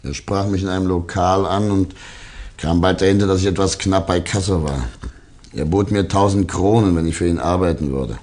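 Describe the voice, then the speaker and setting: Man acting low-voiced shady snoop caught out, defensive, radio crime play. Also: steady tone, normal speed, a little mellow, close to an everyday voice. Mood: resigned, confessing. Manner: protesting, defensive. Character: cornered male hired snoop, indignant, evasive, finally giving in.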